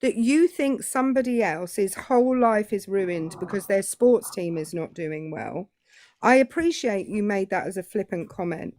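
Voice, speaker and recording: A woman's voice: mid-range voice, expressive, normal speed, a little mellow, dry recording. A British woman arguing politics in a live debate, cutting and sarcastic, often cutting in. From Britain.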